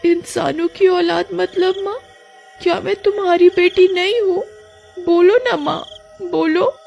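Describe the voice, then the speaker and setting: A woman voicing an earnest young village girl who speaks in a high, pleading voice. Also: steady tone, normal speed, mellow, lo-fi sound, soft background music, natural voice.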